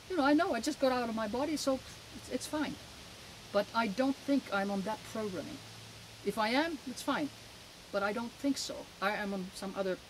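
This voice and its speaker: Older Finnish woman, emphatic and halting. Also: lowish voice, rough recording. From Finland. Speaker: interview guest. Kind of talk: conversational interview answers, personal testimony. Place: outdoors in a garden. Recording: clear outdoor background noise.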